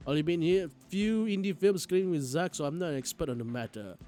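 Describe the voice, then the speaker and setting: A man's voice: fairly high pitch, fast talker, dark tone, soft background music. A man streaming a game, reading its narration aloud expressively with occasional stumbles and side comments.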